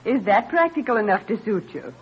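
A woman's voice: a little high, expressive, fairly quick, slightly rough. A woman playing a doting, teasing farm mother in an old-time radio drama. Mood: firm, coaxing. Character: doting, teasing farm mother who stands her ground. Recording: dull, noisy vintage radio recording.

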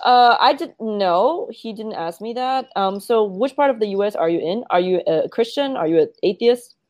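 A woman's voice: mid-range voice, animated, moderate pace, a little mellow, no echo, clean studio sound. Hesitant young woman on a video call. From Malaysia. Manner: hesitant, many restarts and fillers. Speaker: guest. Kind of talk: casual video call chat.

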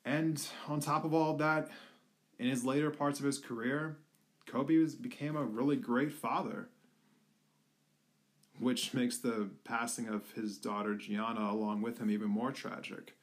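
A young man from the Philadelphia area talking earnestly in a personal tribute, halting with many fillers. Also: medium pitch, steady tone. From Philadelphia area, USA. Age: young adult.

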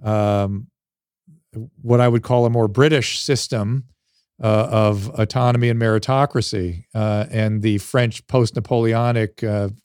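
A man's voice: fairly low pitch, fairly even intonation, unhurried, darkish tone, clear, resonant tone, no room sound, studio quality. A man from the United States with an American accent, hosting a casual interview in a tentative, reflective way, circling around his point. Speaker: interview host.

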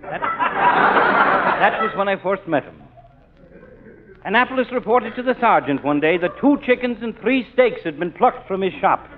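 A windbag cop giving a speech, really high voice, tinny old radio. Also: male voice, expressive, measured pace, long pauses, very noisy. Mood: speechifying. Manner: long-winded, speechmaking. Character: pompous speechifying male beat cop. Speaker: police officer. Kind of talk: 1940s radio comedy acting.

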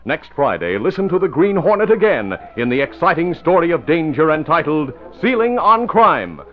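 A man's voice: a little high, very animated, moderate pace. A man announcing and narrating in a polished, emphatic 1940s radio style, reading from a script. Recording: muffled vintage radio broadcast recording.

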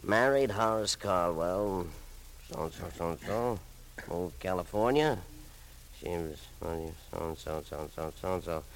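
An actor playing a pedantic, unhurried newspaper file clerk, deadpan and muttering, in a 1940s radio drama. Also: male voice, sits low. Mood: deadpan, absentminded. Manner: deadpan, muttering as reads, fussy. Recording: faintly noisy vintage radio recording.

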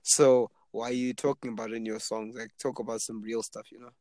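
A man's voice: medium pitch, a little fast, no room sound. A man of twenty from Zimbabwe, chatting casually and rambling with lots of fillers about being an aspiring rapper. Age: 20s. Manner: relaxed, rambling with many fillers. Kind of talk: casual chat.

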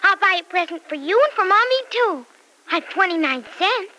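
A woman's voice: extremely high pitch, expressive, moderate pace, pushed and bright, full character voice. A woman playing an eager, sweet little girl with an earnest, childlike manner in an old-time radio soap. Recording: noisy vintage radio recording.

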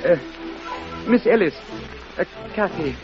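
An actor playing a shy, flustered English schoolmaster in an old radio drama, with a British accent and a hesitant, stammering, politely formal way of talking. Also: male voice, high-pitched, lively intonation, unhurried. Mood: nervous, halting. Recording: dull vintage radio recording, music behind.

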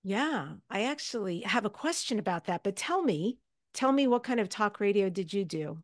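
A woman's voice: medium pitch, animated, normal speed. Effusive woman hosting an interview podcast. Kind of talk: conversational interview.

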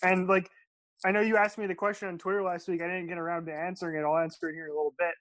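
A man hosting a podcast, asking a guest a question in an enthusiastic, halting way, often restarting his sentences. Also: high voice, level tone, a bit bright, no echo. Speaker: podcast host. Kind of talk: interview question.

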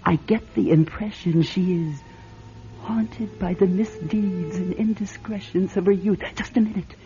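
An actress playing a scheming middle-aged fortune-teller in a classic radio drama, grandiose and coaxing in manner. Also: female voice, medium pitch, fairly even intonation, measured pace, mellow, close to an everyday voice. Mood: hushed, trance-like. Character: theatrical, scheming female fortune-teller and fake medium. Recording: muffled vintage radio, music behind.

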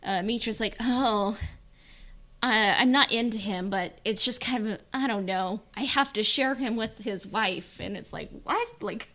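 A woman's voice: medium pitch, a little slow, bright, forceful tone. A woman in casual discussion as a podcast panelist, giving opinions in a hesitant, hedging, informal way. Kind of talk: casual chat, opinion on a book.

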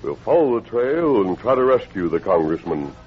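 An actor playing a calm, resolute lawman hero in an old radio western, speaking with measured authority. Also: on the low side, over loud music. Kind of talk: 1950s radio western acting. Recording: dull old radio recording.

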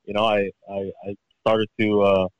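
A man with Tongan as his first language, a pro golfer guest in a relaxed interview chat, often repeating and restarting his words. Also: lowish voice, normal speed, clean tone, no room sound, rough recording.

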